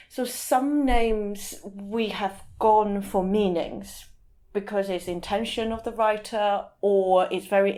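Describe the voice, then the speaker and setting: A woman with a mid-pitched voice speaks as a guest translator in an interview. She explains her points thoughtfully and conversationally. Her phrasing halts as she searches for words and sometimes repeats them. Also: a little mellow.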